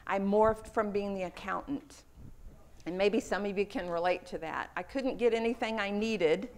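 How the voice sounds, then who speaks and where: A woman's voice: mid-range voice, moderate pace. Texan woman giving a conference talk. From Houston, Texas. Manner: earnest, personal storytelling with a few fillers. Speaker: conference speaker. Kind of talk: lightning talk presentation. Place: conference room.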